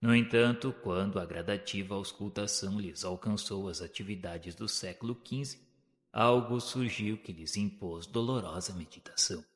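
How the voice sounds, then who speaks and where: Male Brazilian narrator, very low register, solemn formal delivery for scripted spiritual storytelling, long reverb. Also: normal speed.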